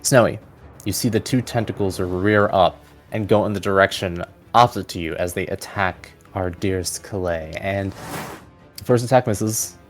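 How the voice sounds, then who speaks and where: A man's voice: low voice, moderate pace. A man narrating a tabletop fantasy game as its game master. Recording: music playing behind. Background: background music.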